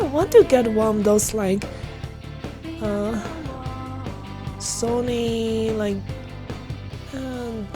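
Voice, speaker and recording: A woman with a fairly high voice chats casually over clear game music. She talks slowly and rambles, with a giggly, meandering manner, as she streams her play. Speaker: livestreamer playing a visual-novel game. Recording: music behind the voice.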